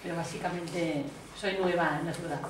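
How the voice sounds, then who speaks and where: A woman's voice: fairly low pitch, fairly even intonation, normal speed. Catalan-accented woman in an echoey room. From Barcelona, Spain. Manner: fluent, conversational. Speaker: marketing director and caterer. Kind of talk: self-introduction at a meetup. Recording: echoey room, distant mic, background noise.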